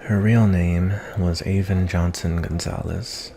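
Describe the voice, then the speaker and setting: Man playing a deep, slow, gravelly cowboy bounty hunter. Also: fairly even intonation, warm tone, full character voice. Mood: storytelling, musing. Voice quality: low, gravelly. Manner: folksy, rambling, kindly drawl with cowboy turns of phrase. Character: gentle, reflective male cowboy bounty hunter in a fantasy tavern. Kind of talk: ASMR roleplay, softly spoken to the listener.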